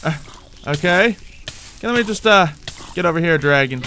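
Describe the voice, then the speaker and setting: A man commentating on his own video game play, thinking aloud in an animated way with playful exclamations. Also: on the high side, normal speed, background noise. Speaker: gaming YouTuber playing a Minecraft roleplay series. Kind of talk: gameplay commentary. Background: background music.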